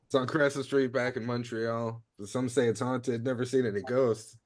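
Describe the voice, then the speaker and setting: A man's voice: mid-range voice, steady tone, moderate pace, close to an everyday voice. A man playing an earnest mission planner on a heist crew in a comedy table read. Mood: wistful.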